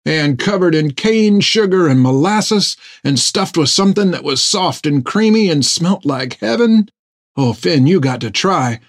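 A man doing a high gushing sailor. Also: animated, measured pace, dry recording, clean studio sound. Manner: folksy, rambling, gushing. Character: excitable food-loving male sailor. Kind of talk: audiobook character voice.

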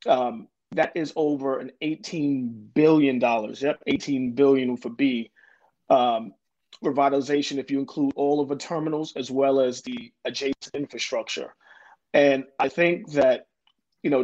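A man's voice: medium pitch, a little mellow. New guy answering interview questions, lots of ums, kinda dull muffled audio. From Jamaica, Queens, New York. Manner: earnest, lots of ums and restarts. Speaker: development corporation president, guest.

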